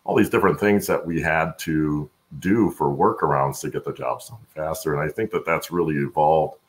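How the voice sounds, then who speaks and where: A middle-aged man with a gravelly voice, answering conversationally as an interview guest on a video call. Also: very deep voice, normal speed. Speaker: podcast guest, supply chain executive. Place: indoor room at home, on a video call.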